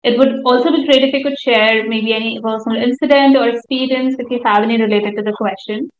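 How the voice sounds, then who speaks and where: A woman's voice: fairly high pitch, steady tone, fast talker, roomy sound, dull audio. A woman with an Indian accent co-hosting a podcast in a scripted, presenting style. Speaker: podcast co-host.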